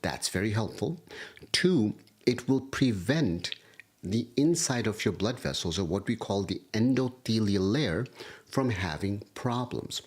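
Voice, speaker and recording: A man, a doctor, giving a livestream health lecture, hoarse from a cold and walking through studies point by point. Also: lowish voice, expressive, unhurried, darkish tone. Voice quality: husky. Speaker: kidney doctor. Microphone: livestream setup.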